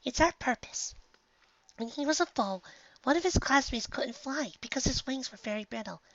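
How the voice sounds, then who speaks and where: A reader voicing a shy, gentle young mare who speaks quietly and softly. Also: high-pitched, expressive, moderate pace, dry recording, muffled recording, pitched above the performer's own voice. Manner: soft, quiet, timid.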